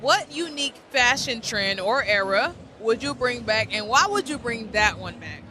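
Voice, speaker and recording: A woman's voice: a little high, lively intonation, moderate pace, bright, forceful tone. A woman hosting a casual street interview at a market, chatty and slangy, laughing easily. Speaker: interviewer host. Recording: crowd noise behind. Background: market crowd chatter.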